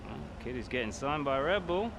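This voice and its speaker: A man's voice: mid-range voice, very animated, brisk, noisy background. A man playing a sly rival racing driver in a video game, insinuating and falsely friendly. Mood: smug, confiding.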